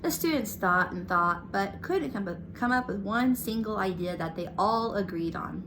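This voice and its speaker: A woman's voice: mid-range voice, animated, moderate pace, background noise. A woman reading a children's picture book aloud as a storyteller, narrating the scenes. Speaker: storybook reader.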